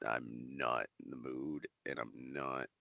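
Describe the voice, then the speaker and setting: Very deep gravelly man, slow drawl. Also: little pitch movement, sharp tone, no echo, clean studio sound. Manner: swears a lot, drawling, slow and sighing. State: recovering from flu, slightly congested. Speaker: streamer. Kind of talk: rambling casual monologue. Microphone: desk microphone.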